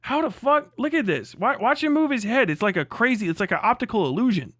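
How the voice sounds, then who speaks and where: A man's voice: extremely high pitch, expressive, quick pace, fairly bright tone, dry recording, clean studio sound. A man livestreaming mocking, profanity-heavy reaction commentary as host, in a crude, exclamatory riffing manner. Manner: often breaks into laughter at own riffs.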